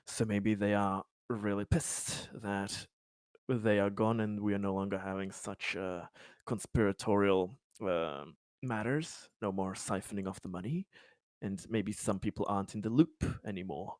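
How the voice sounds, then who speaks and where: A man improvising as a player in a tabletop roleplaying game, halting and hesitant, often stammering over repeated words. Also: fairly low pitch, darkish tone, dry recording, studio quality.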